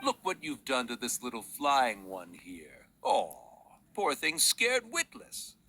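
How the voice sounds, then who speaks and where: An actor playing a mischievous old male yokai spirit in a video game, with theatrical, drawn-out, playfully menacing delivery. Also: medium pitch, huge pitch swings, pushed and bright, full character voice. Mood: playful, chatty. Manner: theatrical, drawn-out, chuckling old-fashioned phrasing.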